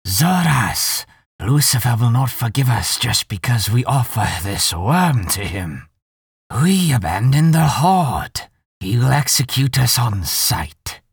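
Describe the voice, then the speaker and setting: A man voicing an agitated, scornful grey demon in an audiobook, with a shrieky, raspy voice, slightly distant from the mic. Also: medium pitch, sing-song, no room sound, studio quality, voice pushed up, exaggerated voice. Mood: alarmed scorn. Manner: agitated, drawn-out urgent warning. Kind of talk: audiobook character voice.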